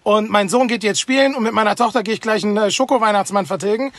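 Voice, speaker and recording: A man's voice: high voice, fast, a bit bright, dry recording. A man in his thirties, a guest musician, giving a jolly, joking greeting into a phone held at arm's length in a car. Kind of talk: casual greeting message. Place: inside a car.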